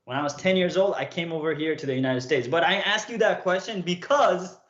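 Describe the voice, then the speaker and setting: A man's voice: a little high, a little fast, fairly bright tone. Chatty man livestreaming, roomy dull sound. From Nepal. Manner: chatty, keeps checking in with "okay?" and "right?". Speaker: streamer coaching viewers. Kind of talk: casual livestream storytelling.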